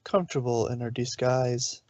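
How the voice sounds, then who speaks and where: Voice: medium pitch, steady tone, moderate pace, darkish tone, no room sound. Dry, muffled storybook narrator. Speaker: narrator, fan fiction reader. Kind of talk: storybook narration read aloud.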